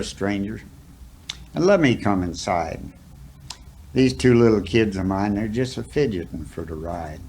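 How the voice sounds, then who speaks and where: Guy telling a cowboy poem real slow, folksy rustic talk, kinda low voice, bit of noise behind. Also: a little mellow. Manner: folksy, drawn-out phrasing with rustic dialect. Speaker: cowboy poetry reciter. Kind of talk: dramatic storytelling recitation of a cowboy poem.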